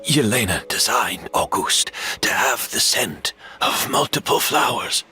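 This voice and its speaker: A man's voice: fairly low pitch, animated, pushed and bright, soft background music, heavily acted. An actor playing a dying master perfumer, tearful and wistful, his voice breaking and sinking to whispers. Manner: slow, halting, drops to whispers. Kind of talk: game character dialogue.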